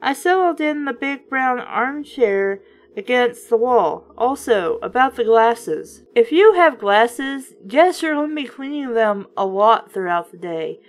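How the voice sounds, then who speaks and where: A woman reading a book aloud in a casual, expressive way, breaking in with sarcastic, opinionated remarks. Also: fairly high pitch, measured pace. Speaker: host reading children's books aloud with commentary.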